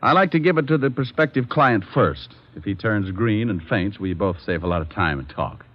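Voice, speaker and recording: A man's voice: fairly low pitch, expressive, moderate pace. A man playing a flippant, wisecracking private detective in a 1940s radio drama. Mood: brisk, wry. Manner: wry, flippant, playful. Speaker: lead actor and narrator. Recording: muffled old radio broadcast.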